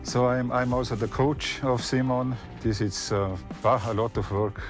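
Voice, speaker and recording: A man in his fifties with an Austrian accent, a ski coach answering interview questions in halting English. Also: medium pitch, fairly even intonation. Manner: halting non-native English. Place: inside a van or camper. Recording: music behind voice.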